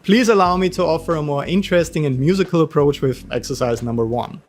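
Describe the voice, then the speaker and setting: A man's voice: a little high, fast. A man with an Austrian accent, teaching an online guitar lesson in an upbeat, encouraging way.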